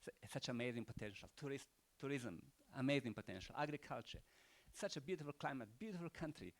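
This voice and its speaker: A man's voice: mid-range voice, lively intonation, quick pace. An older man with a Croatian accent giving rambling, unscripted closing remarks at a panel event, full of rhetorical questions and "you know".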